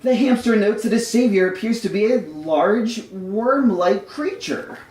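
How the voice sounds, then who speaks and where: Live comedy story reader, real expressive, fake-serious storybook style, amused, bit echoey. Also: mid-range voice, a little slow. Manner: mock-formal storybook delivery, amused. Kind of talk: scripted live comedic narration.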